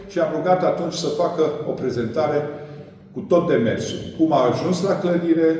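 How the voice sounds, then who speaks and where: A man moderating an event, introducing the next speaker with hesitant pauses. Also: medium pitch, darkish tone, slight background noise. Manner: hesitant, pausing mid-sentence. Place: large lecture hall. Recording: echoey hall from far off.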